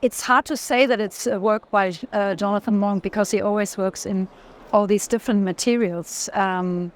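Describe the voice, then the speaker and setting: A woman's voice: mid-range voice, steady tone, moderate pace. A woman speaking as an interview guest and collector, conversational and often searching for words. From Germany. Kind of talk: unscripted conversation.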